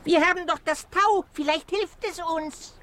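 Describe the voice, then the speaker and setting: A woman's voice: extremely high pitch, measured pace, fairly bright tone, echoey room, far from the mic, a little hiss or hum. A woman playing an eager, chattering duck with a quack-like delivery in a children's radio play.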